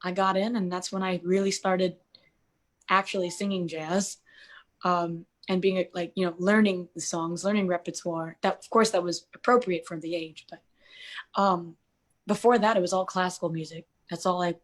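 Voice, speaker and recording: A woman's voice: on the low side, steady tone, moderate pace. A woman in her twenties, a jazz singer and interview guest, talking casually with lots of fillers and restarts. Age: 20s. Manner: chatty, many fillers and restarts.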